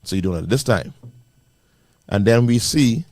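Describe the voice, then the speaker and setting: A Bahamian man co-hosting a radio panel talk show, giving animated opinions with many restarts. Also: fairly low pitch, normal speed, no echo, clean studio sound. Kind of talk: casual radio panel discussion.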